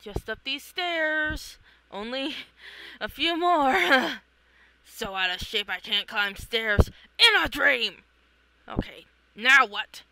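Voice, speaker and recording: Very bright voice, crisp, theatrical comic read-along, exclamatory character acting. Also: high-pitched, animated, takes it slowly, dry recording, low-quality recording. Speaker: reader of own comic.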